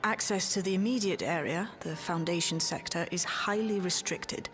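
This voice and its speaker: An actress playing a confident, clever technical expert in a video game, articulate and matter-of-fact. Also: female voice, fairly low pitch, moderate pace, a bit bright, soft background music, close to an everyday voice. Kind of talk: dramatic video game voice acting.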